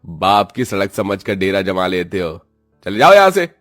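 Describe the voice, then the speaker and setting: A man's voice: low-pitched, very animated, moderate pace, fairly bright tone, dry recording, clean studio sound, soft background music. A man voicing a cruel, taunting policeman who barks threats in a cartoon story. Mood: menacing. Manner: barking, taunting. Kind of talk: Hindi cartoon story acting.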